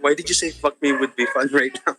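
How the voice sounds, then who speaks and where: A man on a voice chat, bantering crudely and teasing his friend as they play. His voice sits at a middling pitch. Faint music runs behind him. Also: fairly even intonation, fast, bright, forceful tone. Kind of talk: casual gaming banter.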